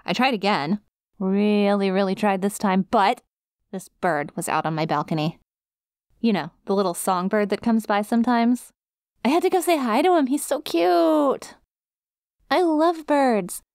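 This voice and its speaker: A woman's voice: mid-range voice, a little slow, darkish tone, clean tone, no echo, studio quality, close to an everyday voice. A woman voicing a friendly, scatterbrained dog-girl student in an ASMR roleplay, with a casual, rambling, easily sidetracked manner. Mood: sheepish, distracted. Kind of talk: ASMR roleplay, one-sided conversation with listener.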